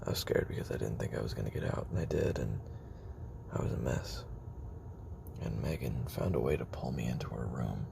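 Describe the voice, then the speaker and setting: A man performs a haunted, weary assassin confiding his memoir in an audio drama. His voice is extremely low and thick with gravelly fry, often dropping near a whisper. He speaks haltingly and broods between phrases, over clearly audible noise. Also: heavily acted. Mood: sorrowful, halting. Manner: halting, brooding, with trailing pauses. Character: haunted, weary male former child assassin recording tape memoir. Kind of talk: confessional dramatic monologue in audio roleplay. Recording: noisy homemade recording with background ambience.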